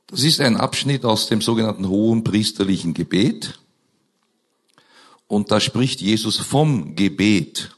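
A hoarse man with a scratchy voice, preaching a sermon in a slow, earnest way with emphatic rises, opening in prayer and reading scripture into a microphone. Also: fairly low pitch, animated. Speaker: pastor.

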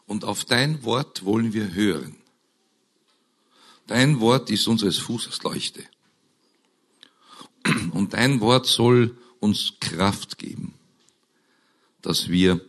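Hoarse, slow-speaking male preacher. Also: low voice. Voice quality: scratchy voice. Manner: slow, earnest preaching with emphatic rises. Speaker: pastor. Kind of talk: sermon with prayer and scripture reading. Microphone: microphone.

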